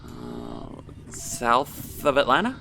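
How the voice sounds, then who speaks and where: A man's voice: mid-range voice, huge pitch swings, takes it slowly, vocal fry, background noise, exaggerated voice. An actor playing an easygoing male technician in an audio drama, talking casually and a little hesitantly. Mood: focused, puzzled.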